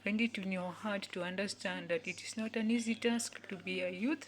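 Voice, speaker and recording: A woman, giving an earnest motivational talk in which she repeats each phrase several times and sometimes drops to a soft whisper. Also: medium pitch, fairly even intonation, normal speed. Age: 20s. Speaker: youth speaker.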